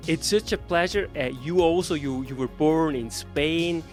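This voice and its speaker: A man's voice: fairly high pitch, a little slow, soft background music. Male podcast host, welcoming intro. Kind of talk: scripted show introduction and questions.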